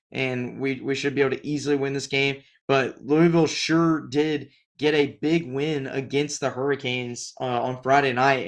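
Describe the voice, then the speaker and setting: A young man with a raspy, strained voice, hosting a casual solo sports talk show with many fillers and restarts. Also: mid-range voice. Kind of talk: solo sports podcast commentary.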